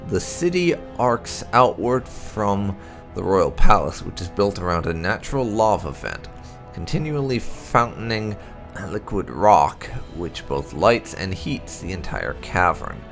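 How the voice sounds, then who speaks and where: A man with a low voice narrates fantasy lore like a storybook, his pitch moving in lively swings. He reads deliberately and leans into dramatic emphasis, with a gravelly fry in his tone. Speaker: host of a game playthrough channel reading lore entries aloud. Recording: music behind the voice.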